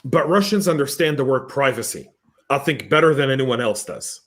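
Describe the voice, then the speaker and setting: A man hosting a podcast panel, telling lively stories and reading out viewer comments in a casual, chatty way. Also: medium pitch, normal speed. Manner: animated storyteller, acts out exchanges. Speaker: podcast host.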